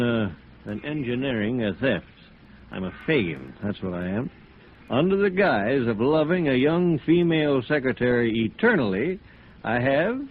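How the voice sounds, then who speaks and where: An actor playing a bourbon-soaked, guilt-ridden male architect, rambling and theatrical in self-deprecating 1950s radio drama style. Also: medium pitch. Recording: narrow, phone-like vintage radio, hissy.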